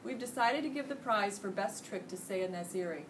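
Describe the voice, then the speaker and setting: A no-nonsense woman teacher from a children's storybook voiced by a woman reader. Her delivery is firm and clipped. The recording is distant, with clear noise. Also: mid-range voice, lively intonation, moderate pace, lightly acted. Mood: formal announcing. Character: stern, no-nonsense woman schoolteacher. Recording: noisy room, distant microphone.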